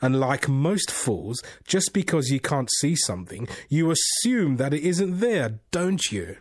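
A man's voice: medium pitch, very animated, a little slow, no echo, clean studio sound, voice pushed up. A man voicing a scornful, teasing bodiless spirit who fires off riddling questions in a storybook. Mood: scoffing. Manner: scoffing, teasing, rhetorical questions. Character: mocking, laughing, bodiless male voice, scornful riddler.

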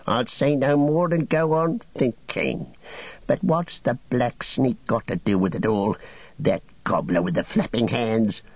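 A man's voice: medium pitch, measured pace, darkish tone, slightly rough, no echo, lo-fi sound, voice pushed up. A man reading a story, voicing a small, quarrelsome male orc tracker who snarls and whines. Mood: taunting. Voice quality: snarling, nasal.

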